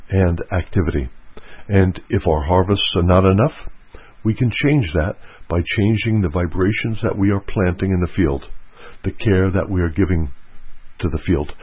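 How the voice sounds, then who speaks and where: A man giving an earnest motivational teaching talk, repeating his key points slowly. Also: low-pitched, breathy edge, no echo, dull audio. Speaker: self-help speaker.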